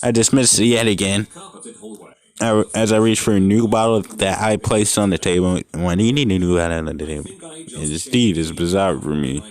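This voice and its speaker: Young man reading fanfic, low voice. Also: fairly even intonation, moderate pace, darkish tone. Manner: reads unevenly, breaks off to joke and swear. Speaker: fanfic reader. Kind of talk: casual fanfic reading with commentary.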